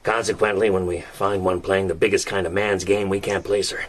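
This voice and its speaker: A man's voice: deep voice, little pitch movement, brisk, slight background noise, close to an everyday voice. An actor playing a shrewd, plump old American man who talks in a folksy, drawling way, in a radio play. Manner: folksy drawling talk, blustering threats.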